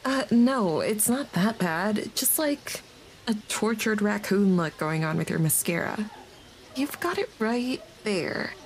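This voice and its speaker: A mouthy, big-hearted single mom in an audio comedy. She is bossy and protective, with a mid-range pitch, over faint ambient noise. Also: animated, slow pace, low-quality recording. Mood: blunt, motherly. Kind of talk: comedy audio drama acting.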